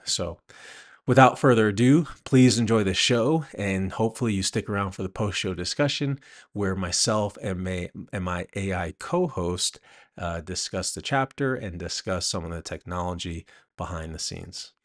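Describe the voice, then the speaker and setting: Deep-voiced man hosting a podcast. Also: moderate pace. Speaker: podcast host and producer. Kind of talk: podcast introduction, addressing listeners.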